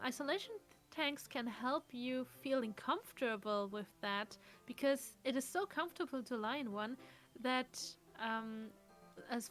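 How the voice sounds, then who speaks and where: A woman's voice: sits high, fairly bright tone. A woman co-hosting a let's play, chatting casually and explaining things in a thoughtful way. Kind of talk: casual chat.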